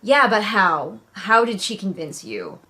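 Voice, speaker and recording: A woman with a mid-range voice hosts a casual read-along review. She is sarcastic and puts animated, mocking emphasis on her points as she comments. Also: medium pitch, unhurried. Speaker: book reviewer and host.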